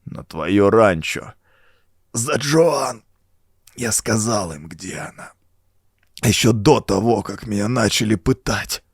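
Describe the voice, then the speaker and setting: A man voicing a dying, tortured cult renegade in an audiobook, weak and halting. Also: lowish voice, lively intonation, breathy edge, no echo, studio quality, heavily acted. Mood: weak, grim. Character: dying, tortured male cultist renegade confessing. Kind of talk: audiobook character acting.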